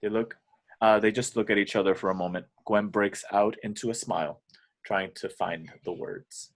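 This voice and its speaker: A man's voice: lowish voice, steady tone, normal speed. A man narrating scripted stage directions at an amateur table read.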